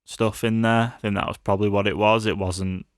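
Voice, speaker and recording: A man's voice: on the low side, flat delivery, a little fast, dry recording, studio quality. A British man co-hosting a podcast, chatting casually and informally about films. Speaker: podcast co-host. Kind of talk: casual film review chat.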